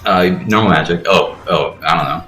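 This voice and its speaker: A man's voice: deep voice, a little fast, bright, forceful tone. A man giving casual game commentary, explaining things in a rambling way with frequent swearing. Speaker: let's play host explaining the game. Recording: roomy echo, faint game music behind.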